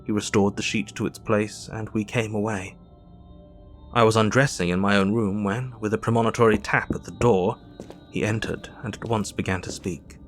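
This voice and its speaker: An actor playing a grieving Victorian doctor who recounts his diary in formal, measured narration for an audio drama. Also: fairly low pitch, fairly even intonation, soft background music, lightly acted. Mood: somber, grave. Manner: formal, measured literary storytelling.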